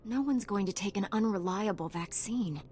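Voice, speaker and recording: An actress playing an earnest, plucky and dry-witted young heroine in a sci-fi video game. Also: female voice, mid-range voice, moderate pace, darkish tone, soft background music, lightly acted. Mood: resolute, dry. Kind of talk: acted video game dialogue.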